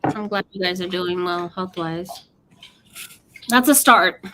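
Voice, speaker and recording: A woman in her late thirties co-hosting a casual book chat, chatty and quick to laugh, reading out viewer comments. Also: fairly low pitch, background noise. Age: late 30s. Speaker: co-host of a book livestream.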